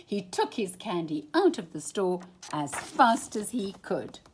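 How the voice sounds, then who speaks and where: A woman storyteller reading a children's storybook expressively, with a deliberate, dramatic delivery that moves between full voice and hushed phrasing. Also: mid-range voice, big pitch swings, a little hiss or hum.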